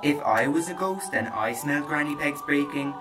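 A man's voice: fairly high pitch, expressive, normal speed, fairly bright tone, faint music behind. A man playing a cheeky, teasing boy, an older brother with a mocking manner, in a children's story.

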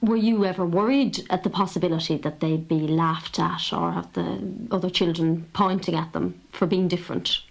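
A woman interviewer asking gentle, probing questions in a radio documentary. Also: lowish voice, steady tone, moderate pace, gravelly, hardly any pauses, poor audio.

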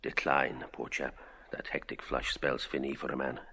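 A man's private thoughts in a literary radio drama. The voice is low and husky with a creaky edge, musing in short, observant fragments inside a long, echoing reverb. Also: level tone, moderate pace, bright, forceful tone, exaggerated voice. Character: Mr Bloom, musing, observant man thinking to himself.

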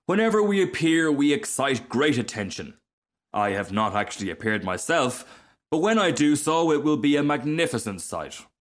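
A man's voice: on the high side, sing-song, voice pushed up. Man voicing a pompous, haughty storybook firework rocket. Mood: self-important. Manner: pompous, drawn-out grand pronouncements. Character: haughty, self-important male firework rocket, pompous and vain. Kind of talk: storybook character reading.

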